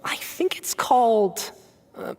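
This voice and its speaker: A woman's voice: a little high, very animated, slow, darkish tone, big-room sound. A woman voicing a friendly, easygoing young agent in an anime video game, chatty and a little hesitant. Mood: friendly, curious.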